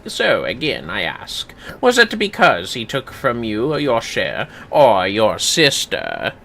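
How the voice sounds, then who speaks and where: A man voicing a sharp, scolding detective inspector in a fantasy game, laying out deductions accusingly. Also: mid-range voice, full character voice. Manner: accusing, matter-of-fact deduction.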